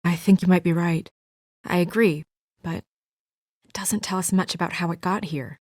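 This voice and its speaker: A young woman played by a game voice actress with a fairly low, serious voice, recorded dry and close. She is an earnest, composed official who speaks with measured restraint, in naturalistic video game dialogue. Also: a little mellow, no room sound, close to an everyday voice.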